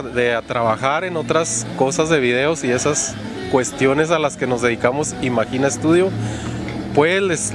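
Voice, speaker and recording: A man in his thirties hosting an interview outdoors, chatty and friendly, with a handheld mic and many fillers. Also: mid-range voice. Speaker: host. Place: outdoors on a park bench by a street.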